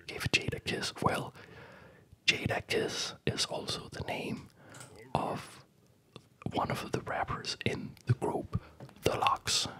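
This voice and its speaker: A man's voice: bright, forceful tone, rough recording. Whispering man, rambling ASMR gamer. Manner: rambling, halting, self-interrupting. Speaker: ASMR gaming video host. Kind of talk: whispered ASMR rambling commentary over gameplay.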